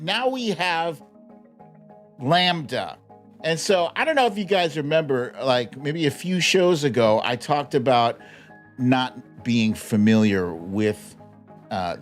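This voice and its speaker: A man hosts a casual livestream of political news commentary over background music. His pitch swings in a very lively way while his pace stays a little unhurried. He is wry and informal, with chuckles slipping into his talk. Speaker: live show host.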